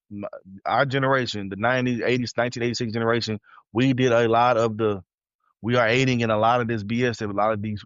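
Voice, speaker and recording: A man in his thirties talks quickly on a casual podcast, circling back and repeating himself as he goes. His voice sits at a middle pitch. The recording is dry and slightly dull. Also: dry recording. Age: 30s. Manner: rambling, repeats words and restarts often. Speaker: podcast co-host.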